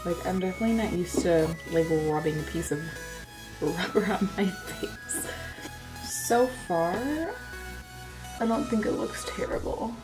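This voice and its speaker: A woman in her twenties chatting casually through a makeup first impression, a little hoarse from being sick, with lots of filler words. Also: medium pitch, low-quality recording. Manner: chatty, self-deprecating, lots of "like". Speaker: beauty vlogger. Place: bedroom, indoors.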